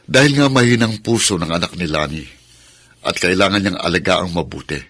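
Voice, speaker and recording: A Filipino actor playing a firm, reasonable cousin in a radio drama, measured and gently admonishing. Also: male voice, deep voice. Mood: firm, reproving. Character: firm, reasonable male cousin defending a shamed relative.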